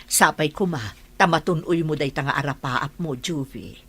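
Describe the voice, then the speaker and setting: A woman's voice: low voice, normal speed, fairly bright tone, breathy edge, heavily acted. A woman with an Ilocano accent playing a caring, devout mother in a radio drama. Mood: tender, encouraging. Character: caring, devout mother encouraging her child.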